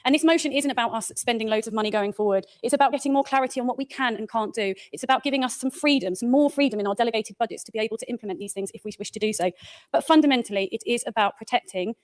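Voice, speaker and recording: Female councillor. Political speech, slightly high voice, extremely quick delivery. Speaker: local councillor.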